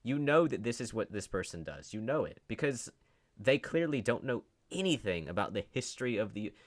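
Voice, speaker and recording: A man with a low voice delivers off-the-cuff commentary. He reads online posts aloud and responds with sarcasm and open disbelief. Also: very animated, brisk. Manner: sarcastic, incredulous. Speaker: commentary host. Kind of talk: unscripted commentary, reading posts aloud and reacting.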